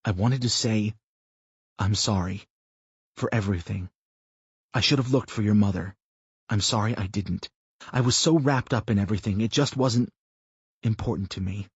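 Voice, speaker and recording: A man's voice: on the low side, moderate pace, pauses often, slightly rough, no room sound, muffled recording. A man voicing a troubled, earnest father who talks in a weary, pleading way in an audiobook.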